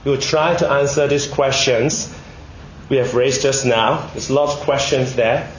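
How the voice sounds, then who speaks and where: A Malaysian man delivers a prepared, sermon-like talk in measured phrases, often posing questions to his listeners. His voice sits at an average pitch and he is getting over a cold. The room is echoey, with faint noise behind him. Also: fairly even intonation, muffled recording. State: recovering from a bad cold, one ear blocked. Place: indoor room, speaking to an audience.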